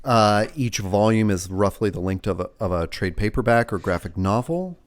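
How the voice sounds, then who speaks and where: A man's voice: fairly low pitch, expressive, moderate pace. A man co-hosting a podcast, chiming in with short reactions and questions. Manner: brief interjections and questions. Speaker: podcast co-host. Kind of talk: casual chat.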